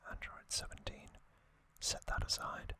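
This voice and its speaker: A man whispering an ASMR recap as host, hushed and halting, in short, drawn-out phrases.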